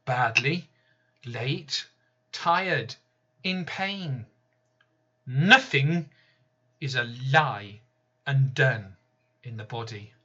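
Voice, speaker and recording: A man reading his own poem aloud in a slow, deliberate, measured way. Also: medium pitch, animated, bright, forceful tone, slightly rough, lo-fi sound. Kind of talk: spoken-word poetry reading.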